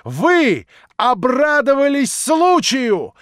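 A man's voice: high voice, lively intonation, normal speed, a little mellow, no echo. A proud young woman hurls her accusations in a lifted, impassioned voice, as a male reader puts her on. The sound is dry and close, like a storybook reading. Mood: outraged. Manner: impassioned, rising to outraged cries. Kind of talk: audiobook reading.

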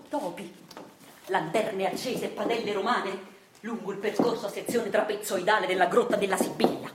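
A woman playing a self-styled intellectual husband who narrates a radio drama theatrically and deliberately, with a Neapolitan accent. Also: mid-range voice, roomy sound, background noise. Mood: hushed suspense. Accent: southern Italian, Neapolitan. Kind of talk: theatrical scripted storytelling.

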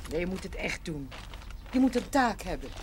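An actress playing a practical, forthright, kindly woman in a naturalistic seventies television drama, brisk and gently teasing. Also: fairly low pitch, big pitch swings, slight background noise. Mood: encouraging. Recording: 1970s television soundtrack with music.

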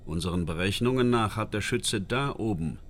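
An actor playing a matter-of-fact police forensic analyst in a radio drama, explaining things in a businesslike way. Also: male voice, lowish voice, moderate pace, a little hiss or hum, natural voice. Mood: focused. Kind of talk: radio drama, technical briefing.

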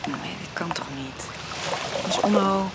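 A woman playing a tender yet resolute young lover in a radio drama, with an earnest, expressive delivery. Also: lowish voice, slow, background noise. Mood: hesitant. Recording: faint background ambience.

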